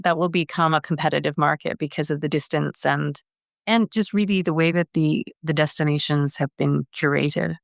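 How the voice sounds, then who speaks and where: Woman from Canada, middle-aged, smooth clear voice, dry close sound, earnest, restarts phrases. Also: low-pitched, no echo. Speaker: guest, wellness strategy director. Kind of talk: conversational interview.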